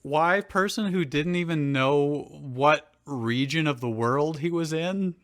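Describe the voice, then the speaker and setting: Co-reader riffing casually on a story, kinda slow talker, dry close sound. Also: mid-range voice, dry recording. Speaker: co-reader and commentator. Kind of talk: casual joking commentary.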